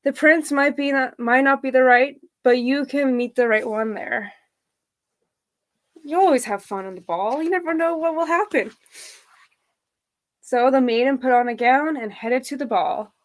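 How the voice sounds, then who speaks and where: A woman's voice: high voice, normal speed. A woman reading a picture book as a guest, friendly and chatty, with casual asides to the audience. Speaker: drag performer, guest storybook reader.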